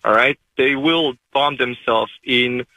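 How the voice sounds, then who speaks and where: A man, calling in to a radio show and speaking fast and emotionally, with many halting restarts. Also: medium pitch, pushed and bright, no room sound, poor audio. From Israel. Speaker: caller. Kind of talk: call-in testimony.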